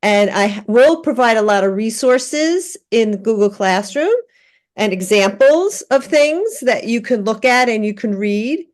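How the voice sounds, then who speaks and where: A woman teaching an online class, giving a relaxed orientation with many fillers and restarts. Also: fairly high pitch, measured pace. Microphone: video call.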